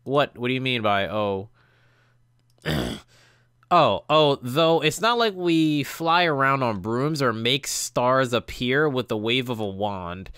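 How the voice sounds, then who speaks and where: A man's voice: mid-range voice, expressive, slow, fairly bright tone, clean tone, no room sound, studio quality. A young adult man reading visual novel text aloud as a gaming host, stumbling now and then and adding casual reactions. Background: game music.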